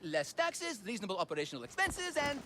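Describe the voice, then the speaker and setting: A man's voice: very high voice, wildly swooping pitch, quick pace, background noise, exaggerated voice. An actor playing a sheepish, blustering father in a video game, a theatrical showman with a cowardly streak. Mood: showy, wheedling. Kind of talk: video game cutscene acting.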